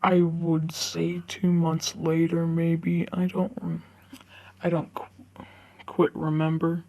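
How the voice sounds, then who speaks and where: A woman reading online forum stories aloud in a halting way, often stumbling over the words. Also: lowish voice, completely flat delivery, darkish tone. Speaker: narrator reading online stories for a video channel.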